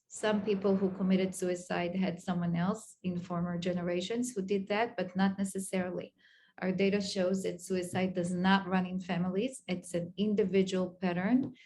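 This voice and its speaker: A middle-aged woman from Israel with an Israeli accent, a clinical psychologist giving an online lecture in a halting way with many pauses and fillers. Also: mid-range voice, darkish tone.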